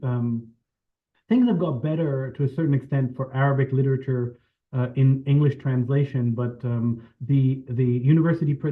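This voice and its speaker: Male remote panelist, mid pitch, tinny phone-line audio, meandering. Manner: thoughtful, circling, many fillers. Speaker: panelist translator. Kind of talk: panel talk on a video call.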